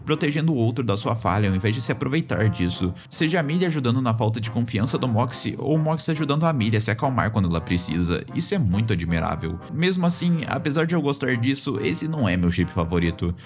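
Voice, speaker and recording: A man's voice: medium pitch, expressive, quick pace, few pauses, faint music behind. A Brazilian man narrating a scripted video essay in a casual, opinionated, explanatory way. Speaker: YouTube video essayist on cartoons.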